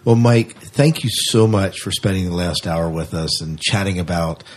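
A man's voice: sits low, normal speed. A man hosting a casual podcast interview and speaking with his guest.

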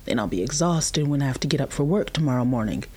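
A woman acts a weary but patient mother in an audio drama. The voice is husky and slightly rough-edged. She explains things plainly, with a gentle firmness. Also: rumbling low, expressive, fast talker, full character voice. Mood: firm, weary. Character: patient, tired human mother of a nursing infant.

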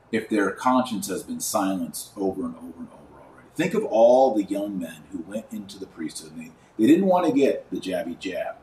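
A man hosting a podcast, giving live commentary and reading aloud in an emphatic, colloquial manner with dramatic pauses. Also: lowish voice, a little mellow. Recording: roomy, distant mic, faint background noise.

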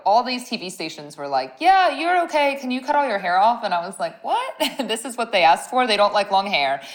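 A woman with a raspy, deeper voice and a Midwestern accent with a slight Southern touch, a former radio host telling stories in a casual interview, animated and laughing often. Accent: Midwestern American with a slight Southern touch. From Ohio, US Midwest. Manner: animated storyteller, laughs often, playful asides. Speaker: guest, brand owner and former radio host.

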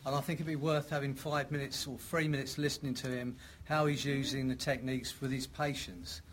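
A man's voice: medium pitch, little pitch movement, fairly quick. Audience member: man, faint noise behind, polite and persuasive. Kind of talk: interjecting from the audience.

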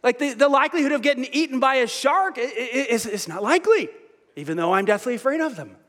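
Man pastor, light high voice, lively sermon, echo of a big church hall. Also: fast talker. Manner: lively, self-mocking, restarts often.

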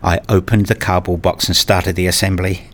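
A man's voice: low-pitched, level tone, moderate pace, lightly acted. A man playing a self-deprecating, nerdy father who tells his own story in a radio play in wry, formal wording. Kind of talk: first-person radio play narration.

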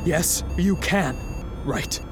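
A man's voice: fairly high pitch, drawn-out words. A man playing a desperate, defiant astronaut in a science fiction radio play, emotional, emphatic and sardonic. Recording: music behind the voice.

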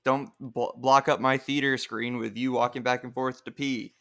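A man's voice: medium pitch, flat delivery, moderate pace, fairly bright tone. Man co-host tossing dry quips. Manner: dry quips and short interjections. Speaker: podcast co-host. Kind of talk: casual chat.

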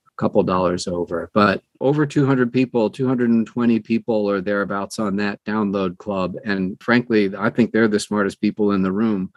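A man's voice: lowish voice, no room sound. Older American man, close dry sound. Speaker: guest, audiobook narrator and publisher. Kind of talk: casual conversational interview.

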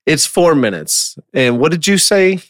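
A man's voice: a little high, big pitch swings, a little slow, no room sound, clean studio sound. A man chatting casually as the host of a podcast.

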